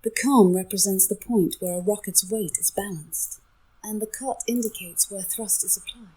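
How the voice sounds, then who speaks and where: Slightly husky female AI assistant. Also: mid-range voice, mellow, poor audio. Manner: formal, measured, helpful. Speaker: AI voice assistant companion. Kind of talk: clear explanatory briefing, scripted-sounding.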